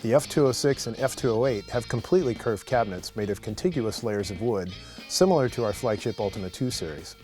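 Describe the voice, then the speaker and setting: A man's voice: lowish voice, lively intonation, a little fast, a little mellow. A man reading a polished, scripted product narration as a promotional voice-over. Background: guitar music.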